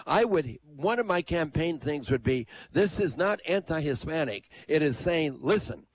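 A man's voice: a little high, lively intonation, moderate pace, breathy edge. Emphatic male talk radio host. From United States. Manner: conversational, emphatic.

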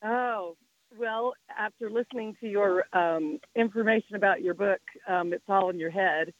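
A woman calling in to a radio show, hoarse and hesitant, with many pauses. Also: medium pitch, no echo, lo-fi sound. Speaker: caller.

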